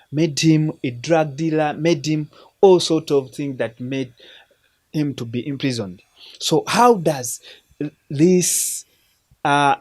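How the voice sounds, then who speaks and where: A man with a slightly high voice hosts a film show. He talks earnestly and a bit like a preacher, and he often stumbles and restarts mid-phrase. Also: darkish tone. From Uganda. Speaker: host of a film recommendation show. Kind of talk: casual spoken film review.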